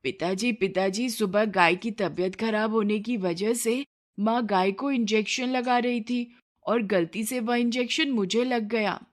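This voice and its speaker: A woman's voice: fairly high pitch, unhurried, natural voice. A woman voicing an obedient young village boy, a dutiful son in a cartoon story. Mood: weak.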